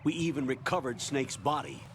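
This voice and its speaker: An actor playing a stern military commander who briefs a soldier with deliberate authority in video game dialogue. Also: male voice, medium pitch, lively intonation, fairly bright tone. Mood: firm. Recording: game audio with faint outdoor noise.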